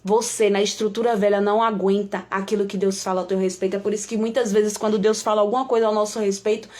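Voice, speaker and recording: A woman's voice: mid-range voice, little pitch movement, quick pace, a little mellow. A woman preacher with a Brazilian accent, giving devotional preaching and prayer in an emphatic, repetitive way, often calling on God.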